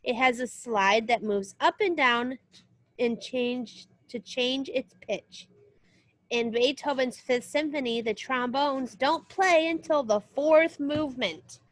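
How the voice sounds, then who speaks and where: A woman's voice: high voice, takes it slowly, rough recording. A woman music teacher reading a children's book aloud as a lesson, explaining things directly to young listeners. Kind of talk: read-aloud lesson with short spoken asides.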